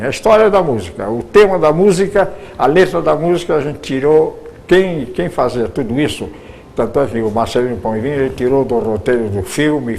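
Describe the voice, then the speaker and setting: A man's voice: medium pitch, darkish tone, a little hiss or hum. An older man, recalling his years in radio drama in an interview, often stumbling and repeating words. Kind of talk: reminiscing interview answers.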